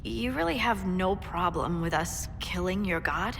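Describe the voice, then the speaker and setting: A woman's voice: lowish voice, measured pace, creaky, fairly bright tone, a little hiss or hum. A woman playing a practical, wry scout officer in a video game, reporting matter-of-factly. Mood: wry doubt. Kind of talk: video game cutscene acting. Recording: long reverb, faint music behind.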